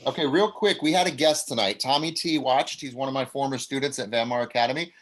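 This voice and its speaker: A man's voice: medium pitch, fast. A man leading a table read over a video call, blunt and coach-like in manner.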